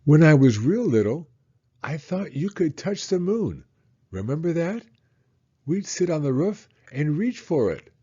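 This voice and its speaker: A cheerful, wondering young boy from a storybook, voiced by a man. The delivery is eager and very animated, with a soft-edged, husky tone and dull, muffled sound. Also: on the high side, unhurried, voice pushed up, heavily acted. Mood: excited, eager. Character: cheerful young boy talking to his dad.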